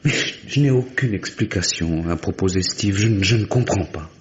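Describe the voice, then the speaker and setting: A man as a deep-voiced, troubled client. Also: moderate pace, lightly acted. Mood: troubled, halting. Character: troubled, bitter male client confessing to his lawyer. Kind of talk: 1950s French radio play acting. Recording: dull, noisy vintage radio recording.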